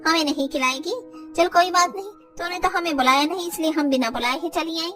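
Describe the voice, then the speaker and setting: A woman's voice: extremely high pitch, fairly quick, recorded from a distance, over music. A woman playing a nosy, pushy, taunting village neighbour in moral-story cartoon dialogue.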